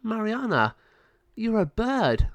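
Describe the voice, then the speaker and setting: A man's voice: on the high side, animated, normal speed, no room sound, pitched above the performer's own voice, natural voice. A man voicing an earnest, wise sorceress, a big sister and heroine in a storybook, speaking emphatically. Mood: surprised.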